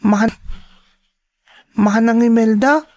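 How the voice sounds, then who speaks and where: A woman's voice: fairly high pitch, takes it slowly, darkish tone. A woman playing an innocent, caring young child in a radio drama, earnest and questioning. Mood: concerned.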